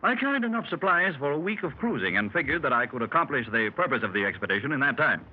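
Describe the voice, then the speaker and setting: A man's voice: medium pitch, very animated, fast. An actor playing a learned middle-aged explorer, telling his tale to fellow club members in a formal, old-fashioned way, in 1930s radio drama style. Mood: measured. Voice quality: formal, clubby storyteller. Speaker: explorer recounting his expedition. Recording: muffled hissy 1930s radio transcription.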